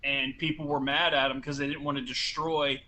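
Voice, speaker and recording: A man's voice: medium pitch, level tone, moderate pace, bright, forceful tone. A man chatting casually and knowledgeably as a panelist, in a matter-of-fact, detail-minded way. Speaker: panelist on a collector show.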